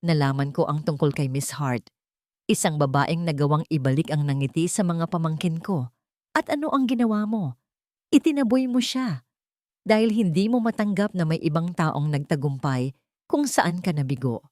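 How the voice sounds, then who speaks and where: A woman's voice: low voice, normal speed, darkish tone, no echo, studio quality, close to an everyday voice. A woman voicing a cold, bitter, vengeful rich aunt in an audiobook drama, speaking bluntly and commandingly. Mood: bitter, accusing.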